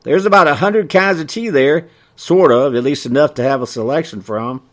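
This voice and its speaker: A man's voice: on the high side, moderate pace, a bit bright, voice pushed up, natural voice. A man voicing a cheery, folksy small-town storekeeper in a story, with an obliging sales patter. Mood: helpful.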